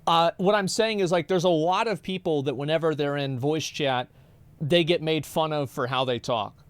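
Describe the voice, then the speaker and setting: A man rambles through his reactions on a live stream, swearing freely and stumbling over repeated words. His voice sits a bit high. The sound is dry and close. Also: moderate pace, clean tone, no echo. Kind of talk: casual live-stream commentary.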